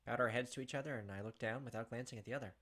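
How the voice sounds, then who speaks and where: A man's voice: medium pitch, rapid-fire, a bit bright, a little hiss or hum. A man hosting a gaming video, reading a visual novel aloud and adding casual commentary over game music. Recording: music behind the voice.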